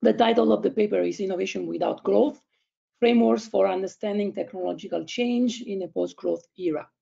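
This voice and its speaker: A man in his thirties with an Italian and Spanish accent, presenting an online academic seminar in a halting way, with many fillers and restarts, over a video call from home. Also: sits high, gravelly, dark tone. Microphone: laptop webcam, video call. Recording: dull lo-fi video call audio.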